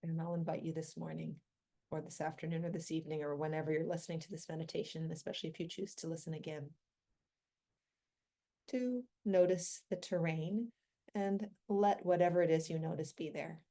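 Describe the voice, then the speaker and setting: A woman leading a guided meditation in a gentle, unhurried manner, with long pauses between short phrases. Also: low voice, fairly even intonation.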